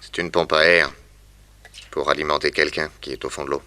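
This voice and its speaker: A man's voice: very deep voice, level tone, brisk, pushed and bright, clear, resonant tone. An actor playing a shrewd, confident detective who explains what he observes, in a 1960s French radio serial. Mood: confident, observant.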